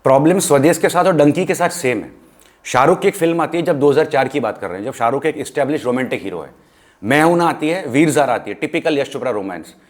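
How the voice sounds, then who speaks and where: A man's voice: medium pitch, animated, quick pace. An Indian man in a casual film discussion, speaking emphatically and giving firm opinions, mixing English phrases into his Hindi.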